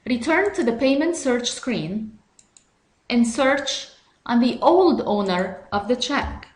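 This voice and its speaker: A woman reading scripted step-by-step software instructions in a measured, instructional way. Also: on the high side, expressive, roomy sound. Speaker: software tutorial narrator.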